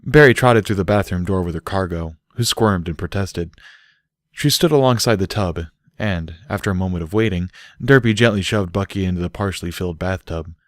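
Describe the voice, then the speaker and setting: A man's voice: low voice, brisk, no echo, studio quality. A man reading a story aloud as an audiobook narrator in scripted prose. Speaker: audiobook reader.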